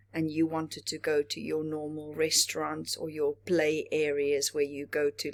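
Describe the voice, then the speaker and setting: A woman reading a story aloud to young children as a storyteller, expressively, pausing to explain and ask questions. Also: low-pitched. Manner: expressive, asks the listeners questions.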